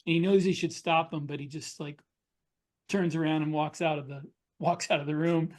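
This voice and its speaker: A man's voice: fairly high pitch, steady tone, normal speed, darkish tone. A man co-hosting a podcast, telling things informally in casual conversation with fellow writers. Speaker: podcast co-host and military sci-fi author. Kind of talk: casual chat, informal storytelling.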